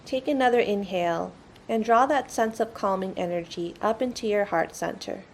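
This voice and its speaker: A woman narrates a guided meditation with soothing, gentle instruction. Her medium-pitched voice carries noticeable creak. A faint low rumble sits in the background of the recording. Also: normal speed.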